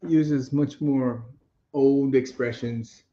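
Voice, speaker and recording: A man's voice: mid-range voice, fairly even intonation, takes it slowly, dark tone. A man co-hosting a casual live chat about multilingual children, rambling and chatty in tone. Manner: chatty, rambling, many fillers and restarts. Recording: dull, roomy audio.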